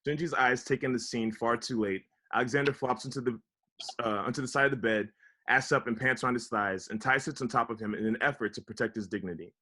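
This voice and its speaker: Guy reading out the stage directions at a script table read, average pitch. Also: fairly even intonation, fast talker, pushed and bright. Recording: online video call table read.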